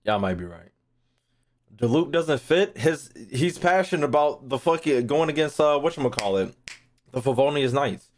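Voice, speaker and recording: A man's voice: mid-range voice, lively intonation, normal speed, a little mellow. A man streaming casually, thinking aloud in a rambling way and swearing freely as he chats. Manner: rambling, foul-mouthed.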